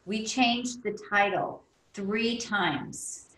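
A woman's voice: mid-range voice, drawn-out words, a bit bright, echoey room, rough recording. A woman hosting a podcast interview, affirming her guest and sharing anecdotes with enthusiasm. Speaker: podcast host.

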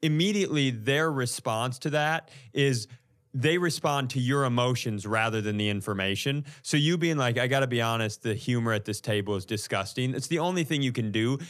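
A man with a mid-pitched voice chats as a comedy podcast co-host. His delivery is conversational and wry, with a teasing edge in casual banter. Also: brisk, fairly bright tone.

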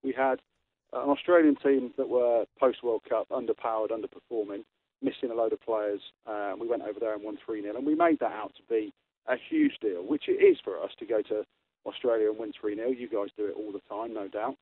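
A man's voice: on the low side, lively intonation, fairly quick. A man, a retired rugby player giving blunt, opinionated views as a radio guest. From England. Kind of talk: radio interview, call-in. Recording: tinny phone line.

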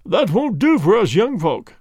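A man's voice: sits high, very animated, measured pace, darkish tone, husky, no echo, clean studio sound, voice pushed up, exaggerated voice. A man reading the part of a breezy, chummy middle-aged police inspector who is mildly condescending. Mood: hearty.

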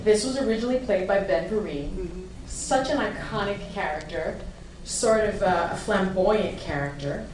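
A woman's voice: mid-range voice, normal speed. A woman interviewing actors, asking questions in an enthusiastic way. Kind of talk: press junket interview. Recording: echoey room, distant mic, noisy.

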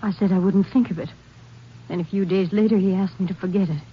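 An actress playing a frightened, distraught rancher's wife, pleading and suspicious, in an old-time radio western. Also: female voice, mid-range voice, fairly even intonation, quick pace, close to an everyday voice. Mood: hurt, suspicious. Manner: overwrought, pleading delivery. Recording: muffled vintage radio recording.

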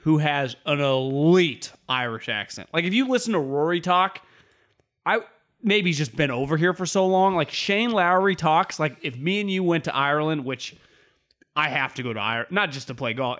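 A man's voice: on the high side, normal speed, fairly bright tone. Rambling young man chatting sports. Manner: rambling, filler-heavy, enthusiastic. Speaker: podcast co-host. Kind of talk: casual sports chat.

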